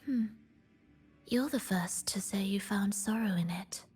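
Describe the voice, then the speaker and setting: A woman voicing a melancholic, enigmatic composer and conductor in a video game, solemn and poetic in a soft, breathy voice. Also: medium pitch. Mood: cool, reserved. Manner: solemn, poetic, measured delivery. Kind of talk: dramatic video game voice acting. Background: background music.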